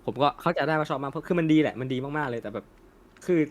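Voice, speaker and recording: A man's voice: fairly high pitch, racing. A young man in a casual, opinionated discussion as a co-host, talking colloquially with swearing and slang. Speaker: co-host of an anime discussion channel.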